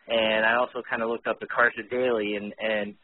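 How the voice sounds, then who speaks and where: A man's voice: on the low side, level tone, a little fast, slightly rough. A man who hosts a radio show, chatting casually and bluntly as an interview guest. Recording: tinny phone-like line.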